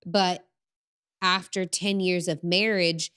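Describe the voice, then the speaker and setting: A calm woman in her thirties co-hosts a faith and relationships chat. Her voice sits a little low and sounds smooth and clear. She speaks in an even, affirming way. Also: slow. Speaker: podcast co-host. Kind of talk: casual faith-based advice chat.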